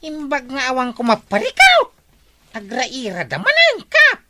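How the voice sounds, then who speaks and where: Bright, cheeky village night-watch member. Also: female voice, high voice, huge pitch swings, unhurried, no room sound, heavily acted. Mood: eager, reporting. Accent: Ilocano. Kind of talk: comedy radio drama acting.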